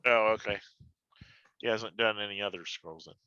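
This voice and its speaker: A man playing a tabletop role-playing game, chatting casually with the group, on a muffled, low-fidelity recording. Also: fairly even intonation, moderate pace, sharp tone, clear, resonant tone. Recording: dull, band-limited sound.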